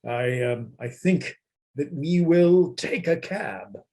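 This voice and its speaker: A man reading the part of a proud, secretive anarchist in a radio play, in a halting, solemn and formal manner over a video call. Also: on the high side, dark tone. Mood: hesitant, amused. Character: proud, offended male anarchist, secretive and grandiose.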